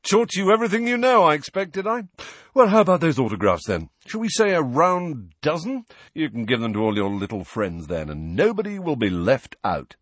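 A man's voice: on the high side, very animated, brisk, fairly bright tone, husky, no echo, pitched above the performer's own voice, heavily acted. A man voicing a vain, exuberant, childishly vacant celebrity wizard in an audiobook, with a breezy, self-satisfied manner.

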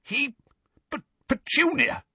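A man voicing a blustering, easily flustered middle-aged uncle with a hoarse voice, in an audiobook story. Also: medium pitch, wildly swooping pitch, takes it slowly, sharp tone, no echo, voice pushed up, exaggerated voice. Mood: flustered, pleading. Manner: roaring, then stammering and flustered.

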